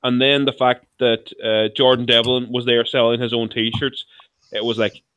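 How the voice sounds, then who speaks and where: A man co-hosting a podcast, chatty and quick to react. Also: medium pitch, little pitch movement, fairly bright tone, no echo. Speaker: podcast co-host. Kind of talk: casual chat.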